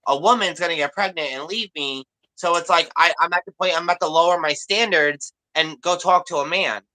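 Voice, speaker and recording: Woman, bright sharp voice, candid and emphatic, long run-on talk. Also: lowish voice, a little fast, rough recording. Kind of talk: casual chat.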